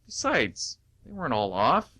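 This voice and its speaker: A man acts out a humiliated ballpark mascot in a comic radio play. He is indignant and defensive, and his pitch jumps about as he protests. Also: wildly swooping pitch, a little slow, pushed and bright, husky, dry recording, full character voice. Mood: exasperated, defensive. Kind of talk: live radio comedy play.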